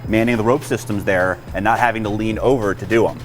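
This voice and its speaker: A man's voice: fairly low pitch, steady tone, fast talker, soft background music. An American man in the role of rescue chief, giving an informal explanatory tour of equipment in practical, plain-spoken trade jargon.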